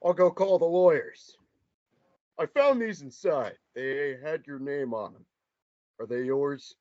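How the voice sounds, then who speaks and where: A man's voice: a little high, lively intonation, a little slow, darkish tone. A man in a table read voicing a dutiful police officer who speaks formally and politely. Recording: muffled lo-fi recording.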